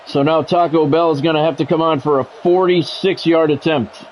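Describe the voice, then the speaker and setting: A man's voice: fairly high pitch, moderate pace, a little hiss or hum, low-quality recording. A middle-aged or older man giving live play-by-play of a football video game in short, clipped announcer phrases. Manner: announcer-like, short clipped phrases, excited calls on big plays.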